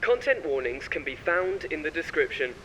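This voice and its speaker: A man's voice: a little high, sing-song, normal speed, background noise. A man announcing a scripted intro for a horror podcast.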